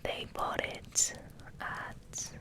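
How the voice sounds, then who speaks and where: A woman giving a soft whispered ASMR ramble in an unhurried, halting way, gently repeating words, close to the microphone. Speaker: host of an ASMR channel. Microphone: close microphone resting on the table.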